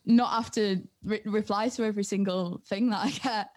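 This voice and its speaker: A woman's voice: mid-range voice, steady tone, normal speed, darkish tone. A young woman, chatting casually and informally as a guest. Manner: informal, giggly. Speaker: guest, music producer and DJ. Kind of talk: casual chat.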